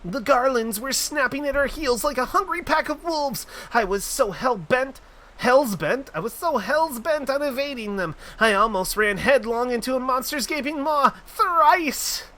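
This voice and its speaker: A man voicing a small, frightened young man who is jittery and whiny, reading his lines in a high, anxious, pleading voice. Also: animated, measured pace, bright, forceful tone, exaggerated voice.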